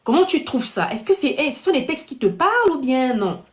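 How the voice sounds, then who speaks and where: A woman's voice: high voice, sing-song, a little fast, slight background noise. A woman hosting a casual panel show, often repeating and rephrasing as she puts questions to her guests. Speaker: host of a web talk show. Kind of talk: casual panel discussion, asking guests for opinions.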